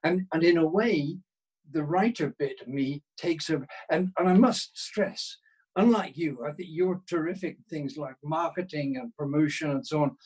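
A man's voice: fairly high pitch, moderate pace, a little mellow, husky, low-quality recording. An older man being interviewed in a casual, self-deprecating way, thinking aloud and rephrasing as he goes. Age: 60s or older. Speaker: interview guest, writer.

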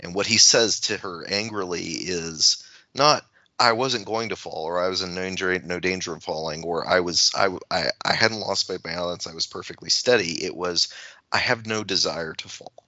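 A man chatting casually about literature as a podcast panelist, with a halting, anecdotal delivery. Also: low-pitched, bright, forceful tone, dry recording, muffled recording.

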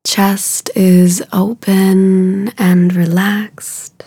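Slow, mellow woman guiding meditation, creaky voice. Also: medium pitch, flat delivery, dry recording. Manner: soothing, hushed, soft and breathy at phrase ends; unhurried with drawn-out phrases. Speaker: meditation guide. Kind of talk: guided meditation.

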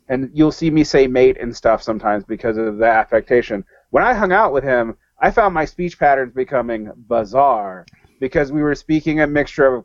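A man's voice: mid-range voice, no room sound, dull audio. A man from the American South talking as a podcast guest in loose discussion, full of fillers and restarts. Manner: rambling, many fillers and restarts. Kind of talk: casual discussion.

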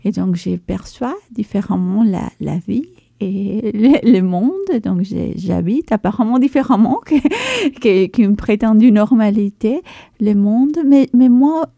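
Middle-aged woman, Mexican accent, native Spanish speaker, very mellow dark timbre, vocal fry, reflective halting phrasing. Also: medium pitch, expressive, no room sound. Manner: thoughtful, halting, rephrases as she goes. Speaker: interview guest, philosopher and theatre actress. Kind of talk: reflective interview talk.

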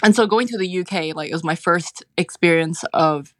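A woman's voice: fairly low pitch, normal speed, a bit bright, no room sound, studio quality. A woman in her twenties from Hong Kong speaking as an interview guest, reflective and hesitant, often saying filler words. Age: 20s. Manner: hesitant, filler-heavy with frequent "like".

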